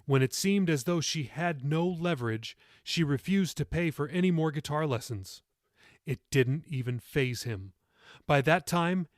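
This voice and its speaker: A man's voice: mid-range voice, no room sound, clean studio sound. A man reading his own novel as scripted audiobook narration.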